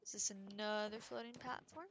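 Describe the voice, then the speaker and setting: A woman's voice: medium pitch, steady tone, normal speed, background noise. A woman giving casual live gameplay commentary, musing and thinking aloud. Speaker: game streamer. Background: game music.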